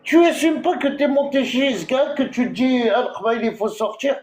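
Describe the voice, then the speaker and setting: A man's voice: very high-pitched, expressive, moderate pace, a little mellow, reverberant. Heated man ranting live. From Algeria. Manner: confrontational, repetitive, full of hesitations. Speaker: TikTok live host. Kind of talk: heated live-stream rant.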